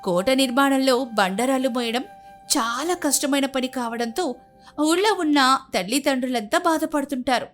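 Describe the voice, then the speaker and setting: High-voiced woman narrating a folk tale. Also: normal speed, faint music behind.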